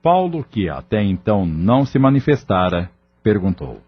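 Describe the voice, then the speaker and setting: A man narrating a scripted audio novel in a measured, dramatic storytelling manner. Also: lowish voice, sing-song. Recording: muffled, lo-fi track with music. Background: clear background music.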